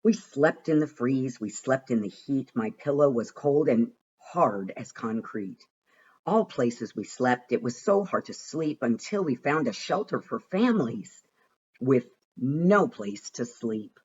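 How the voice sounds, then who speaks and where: Man, husky voice, animated pitch, rhyming storybook narration, slightly dull lo-fi recording. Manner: rhythmic, expressive, deliberate delivery. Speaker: reader of a children's picture book.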